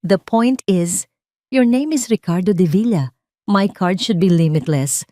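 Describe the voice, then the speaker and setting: A woman playing a spoiled, sharp-voiced socialite wife. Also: mid-range voice, unhurried, dry recording, clean studio sound, lightly acted. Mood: angry, demanding. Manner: sharp, complaining, demanding. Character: spoiled, indignant rich socialite wife, shrill and demanding.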